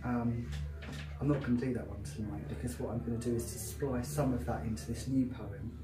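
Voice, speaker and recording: A man's voice: mid-range voice, fairly even intonation, a little fast, crowd noise. A man with a South London Cockney accent giving a live poetry reading, with a chatty, filler-heavy introduction and a rhythmic, emphatic recitation. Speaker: poet. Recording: roomy, distant, noisy live venue.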